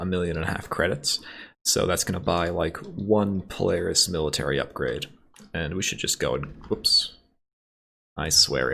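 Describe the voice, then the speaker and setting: A man hosting a let's play, chatting casually and reading the game's story text aloud, his voice a little raspy from congestion. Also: deep voice. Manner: relaxed, thinking aloud while shopping for upgrades.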